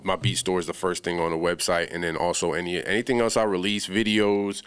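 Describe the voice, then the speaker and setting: A man's voice: low voice, level tone, fairly quick, fairly bright tone, slight background noise. A laid-back young man from the Bahamas, a music producer talking casually as a podcast guest, with lots of slang and fillers.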